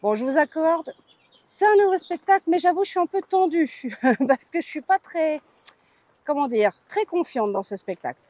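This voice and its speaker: Woman vlogger, really high voice, chatty and playful, candid opinions, dry close sound. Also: animated, moderate pace, darkish tone, no echo. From France. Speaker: vlog host. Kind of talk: chatty theme park news vlog.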